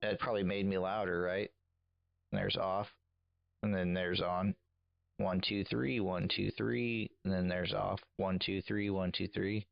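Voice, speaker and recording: Deep gravelly man, dry and muffled. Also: little pitch movement, a little slow, dry recording. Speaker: CB radio operator coaching another on audio settings. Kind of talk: casual technical instruction.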